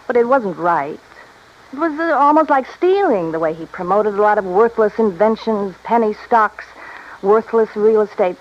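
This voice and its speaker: A woman's voice: medium pitch, close to an everyday voice. A woman acting a discontented, self-pitying wife in an old radio drama, speaking in a hesitant, confessional manner. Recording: dull, faintly noisy old radio recording.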